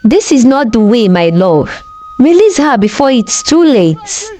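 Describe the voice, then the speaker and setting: A woman's voice: on the high side, sing-song, measured pace, warm tone. A woman voicing a concerned, cautious queen and mother in a storybook tale, earnest and imploring. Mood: pleading. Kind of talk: storybook character acting.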